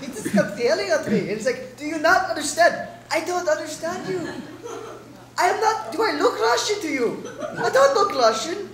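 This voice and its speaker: A woman's voice: very high voice, lively intonation, moderate pace, echoey room. A young woman improvising a comic story in a giggly, bewildered manner. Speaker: improv player.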